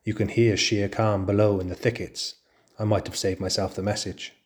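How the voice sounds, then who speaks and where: A man's voice: lowish voice, lightly acted. A man doing a sly, fawning jackal. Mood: spiteful. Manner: wheedling, drawn-out flattery. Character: fawning, spiteful male jackal, small and sly. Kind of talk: storybook reading.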